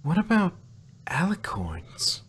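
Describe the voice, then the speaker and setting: A performer voicing an earnest, curious, bookish young female pony student with a husky voice, for a fan fiction reading. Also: medium pitch, huge pitch swings, takes it slowly, a bit bright, exaggerated voice. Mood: puzzled, excited.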